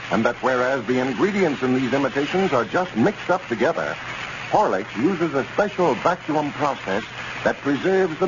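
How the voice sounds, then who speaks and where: Vintage American radio announcer, man, medium pitch, declamatory scripted read, dull lo-fi sound. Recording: muffled, noisy 1930s radio recording.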